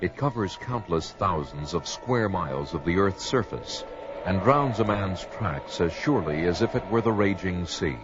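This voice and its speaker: A grave male storyteller, narrates in a very deep voice with solemn, portentous phrasing. Music plays clearly behind him on a dull vintage adventure record. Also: lively intonation, moderate pace. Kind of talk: dramatic scripted narration.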